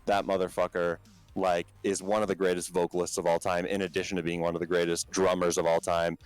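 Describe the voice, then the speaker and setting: A man with a low talking voice, a band's vocalist as a podcast guest, chatting casually and rambling with many fillers and restarts. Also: flat delivery, quick pace, clean tone, no echo, soft background music.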